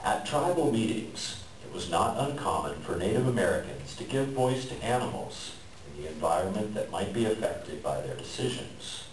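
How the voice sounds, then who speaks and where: A man with a deep voice gives a formal introduction to a staged reading. He is picked up from a distance in an echoey room with clear background noise. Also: big pitch swings, moderate pace, hardly any pauses. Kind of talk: formal introduction and read author's note. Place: library room, live event.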